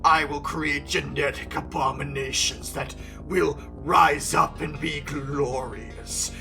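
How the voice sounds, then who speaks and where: A reviewer putting on a pompous male mad scientist, a film villain who declaims slowly and ominously. The voice is grand and menacing, with faint music underneath. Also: mid-range voice, fairly even intonation, creaky, distant mic, voice pushed down. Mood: grandiose, menacing.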